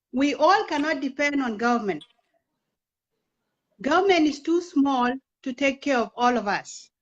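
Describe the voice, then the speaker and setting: A woman's voice: on the high side, muffled recording. A woman in her thirties who grew up in Kenya, speaking as an interview guest in an earnest, persuasive way and asking rhetorical questions. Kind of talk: impassioned political talk.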